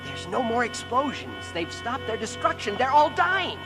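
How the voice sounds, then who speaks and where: Excited, breathless young female invasion survivor. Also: on the high side, normal speed. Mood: excited relief. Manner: breathless, exclamatory, theatrical. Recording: dramatic music behind.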